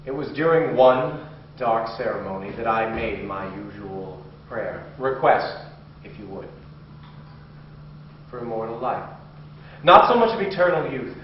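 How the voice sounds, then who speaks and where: A brooding male vampire occultist, played by an actor, delivers a dramatic stage monologue. He speaks haltingly, with weighty pauses. The recording is dull and distant, with heavy room echo. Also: mid-range voice, natural voice. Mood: fervent, solemn. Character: brooding male vampire occultist confessing his dark transformation. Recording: very echoey room, distant dull mic, faint hiss.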